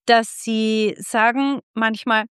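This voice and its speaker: A middle-aged woman from Austria hosting a casual interview, chatty and quick to laugh, often restarting her sentences. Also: a little high, steady tone, fairly bright tone, clear, resonant tone, dry recording, clean studio sound. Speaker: podcast host and club founder.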